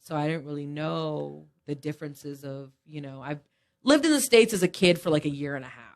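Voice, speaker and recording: A woman's voice: low-pitched, animated, brisk. A woman in her thirties who grew up in Japan, talking casually as a guest in an interview, with many fillers and "you know"s.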